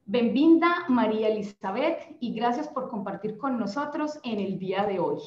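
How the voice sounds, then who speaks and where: Young Colombian woman, panel moderator, fairly high voice, lo-fi video-call sound. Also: expressive, moderate pace. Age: young adult. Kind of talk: formal panel introduction. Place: office room, indoors. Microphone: laptop webcam on video call. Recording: echoey room, dull lo-fi sound.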